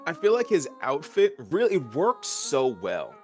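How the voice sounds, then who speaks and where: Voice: mid-range voice, wildly swooping pitch, a little slow, darkish tone. A guest co-commentator talking in a casual fan chat. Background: background music.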